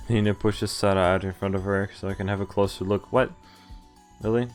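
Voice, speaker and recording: A man's voice: fairly low pitch, flat delivery, fairly quick. A man live-streaming a visual novel, reading it aloud and breaking into informal, sweary reactions. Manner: informal, sweary asides, stumbles over read lines. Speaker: game streamer. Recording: game music behind the voice. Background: visual novel music and voiced characters.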